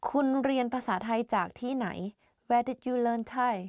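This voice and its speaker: A woman reading example questions for a language lesson in a clear, measured way. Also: on the high side, little pitch movement, no room sound, studio quality. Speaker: co-presenter reading example phrases. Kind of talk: scripted phrase reading.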